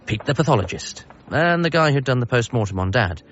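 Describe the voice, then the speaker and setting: An actor playing a wry, self-deprecating man narrating his own story in a radio comedy drama, with deadpan asides. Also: mid-range voice, brisk, highs cut off, a little hiss or hum, natural voice.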